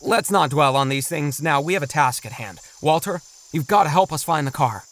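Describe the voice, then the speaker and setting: A man's voice: a little high, animated, moderate pace, fairly bright tone, a little hiss or hum. A man playing a kindly, practical father in a kids' audio drama, reasonable and encouraging. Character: kindly, sensible, practical father of two kids.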